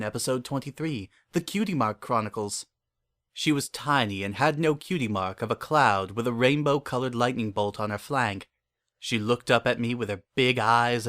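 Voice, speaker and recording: A man's voice: mid-range voice, a bit bright, lightly acted. Lonely, deluded male confessor for audio drama, acted by a man, mid-range voice, earnest, uneasily intense, intimate first-person storytelling. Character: lonely, obsessive, delusional man confiding his private devotion.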